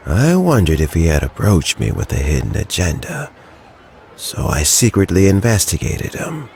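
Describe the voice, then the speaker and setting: A man playing a weary, principled elderly statesman in a video game, with a gravelly, measured and reflective delivery. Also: on the low side, slight background noise.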